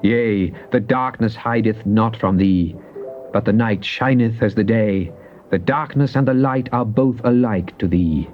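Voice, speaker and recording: An actor playing a fervent psalmist, solemn and theatrical in a dramatized Bible reading. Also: male voice, on the low side, unhurried, faint music behind, close to an everyday voice. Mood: awed. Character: fervent psalmist addressing God in prayer and lament. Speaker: scripture reader. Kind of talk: dramatized Bible reading, British stage delivery. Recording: muffled, noisy old cassette tape.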